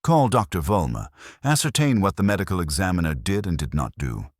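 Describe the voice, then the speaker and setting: A man's voice: low voice, big pitch swings, brisk, no echo, clean studio sound. A man voicing an imperious, exacting genius detective, pompous and formal, in an audiobook. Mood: commanding.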